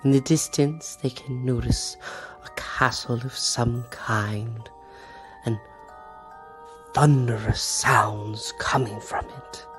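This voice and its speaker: A man narrating a tabletop game scene as game master, slowly and theatrically, at times dropping to a whisper. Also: mid-range voice, fairly even intonation. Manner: slow, theatrical storytelling with hushed whispers. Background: background music.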